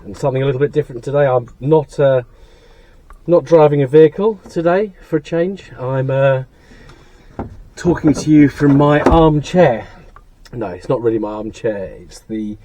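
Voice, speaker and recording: A British man with a husky, croaky voice from laryngitis, rambling low-key to camera from the seat of his parked van. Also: warm tone, dry recording. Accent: British English. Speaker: car channel host. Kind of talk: casual rambling chat to camera. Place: parked van cab, seated.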